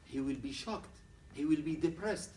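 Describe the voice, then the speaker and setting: A man from Ahwaz gives a conference lecture as a human rights activist. He speaks in earnest, halting English. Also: fairly high pitch, a little mellow, a little hiss or hum. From Ahwaz, southwestern Iran. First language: Arabic. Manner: earnest, plain-spoken, halting non-native English. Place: university lecture room. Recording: echoey room, picked up from a distance.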